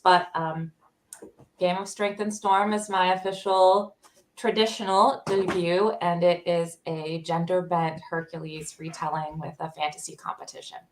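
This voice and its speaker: Woman author in an echoey room. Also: on the low side. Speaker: debut author, panel guest. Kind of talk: casual panel introduction.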